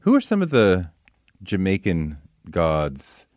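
Halting, lively-voiced man host. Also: sits low, no room sound, studio quality. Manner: halting, stumbles over words. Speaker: podcast host. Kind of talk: casual interview.

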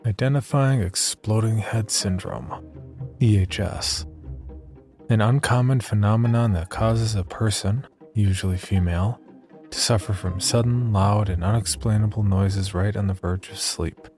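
Low-voiced man narrating horror over faint music. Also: measured pace, darkish tone. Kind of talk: scripted first-person horror narration.

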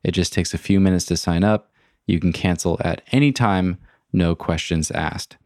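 A man hosting a podcast, relaxed and friendly, chatting casually with his guest. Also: low voice, moderate pace, dry recording, studio quality. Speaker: podcast host. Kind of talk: casual interview chat.

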